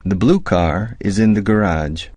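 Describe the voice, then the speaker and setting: A man reading short English phrases one at a time with slow, careful enunciation for a language drill. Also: fairly low pitch, a little mellow, husky, no room sound.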